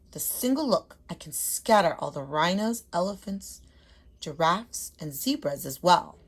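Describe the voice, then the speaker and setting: A woman voicing a cunning, boastful male fox in a read-aloud storybook, calm and well-spoken. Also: fairly low pitch, a little slow, husky, heavily acted.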